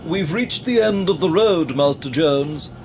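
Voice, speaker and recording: An actor playing a formal, deferential chauffeur in an audio drama. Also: male voice, a little high, expressive, measured pace, music in the background. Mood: dutiful. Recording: noisy car ambience.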